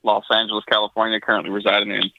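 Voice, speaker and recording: A man's voice: on the low side, completely flat delivery, racing, creaky, no room sound, dull audio. A man from Tennessee, a guest in a casual chat, telling rambling jokes. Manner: joking, rambling storyteller. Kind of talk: casual chat on a video call.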